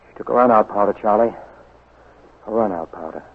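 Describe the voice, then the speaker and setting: A man's voice: fairly low pitch, a little fast, slightly rough. An actor playing a brash, stubborn American newspaperman in a 1940s radio drama, punchy, slangy and impatient. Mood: tense, determined. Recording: tinny, scratchy 1940s radio recording.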